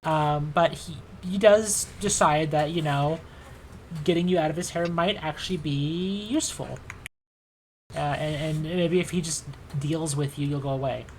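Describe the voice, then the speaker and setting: A game master narrating a tabletop game casually, thinking aloud with halting phrasing. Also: medium pitch, a little hiss or hum, rough recording.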